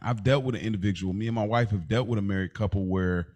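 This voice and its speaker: A man's voice: lowish voice, quick pace, darkish tone. A man chatting casually as a podcast co-host, telling stories in loose slang and acting out what people said.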